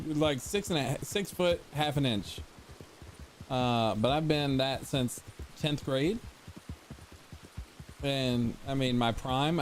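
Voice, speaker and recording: A man's voice: medium pitch, slight background noise. A forty-year-old man chatting casually with viewers while streaming a game, rambling and repeating himself. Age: 40s. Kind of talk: casual live-stream chat over gameplay.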